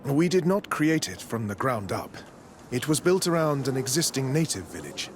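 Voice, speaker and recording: An actor playing a zealous, smooth-talking priest in a video game, formal, preachy and condescending. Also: male voice, mid-range voice, sing-song, moderate pace, slight background noise, close to an everyday voice. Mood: pious, proud. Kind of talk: scripted video game dialogue.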